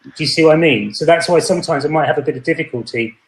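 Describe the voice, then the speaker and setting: A man's voice: fairly high pitch, racing, creaky. A man hosting a podcast in casual chat, asking follow-up questions and trying the exercises out. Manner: inquisitive, asks follow-up questions, tries exercises aloud. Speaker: podcast host. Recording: echoey room.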